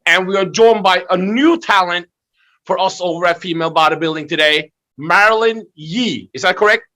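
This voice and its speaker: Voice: mid-range voice, normal speed, bright, forceful tone. A man hosting a conversational video interview, asking questions and reacting with short interjections. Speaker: interview host.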